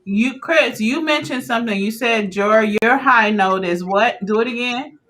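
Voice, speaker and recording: A woman hosting a live chat show, animated and outspoken, with lots of exclamations. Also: on the high side, a little slow, slight background noise, poor audio. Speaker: host.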